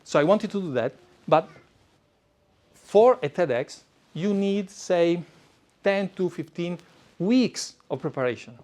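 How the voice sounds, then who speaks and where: A man's voice: high voice, lively intonation, takes it slowly, long pauses, darkish tone. Italian-accented man giving a talk. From Italy. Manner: conversational, with frequent "uh" fillers and restarts. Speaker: speaker coach presenting. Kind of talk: live presentation talk. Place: event room before a live audience.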